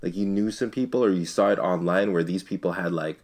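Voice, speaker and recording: A man's voice: deep voice, a little fast, a little mellow. A young man co-hosting a podcast in casual, rambling chat, often tossing in "man". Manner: laid-back, rambling, says "man" a lot. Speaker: podcast co-host.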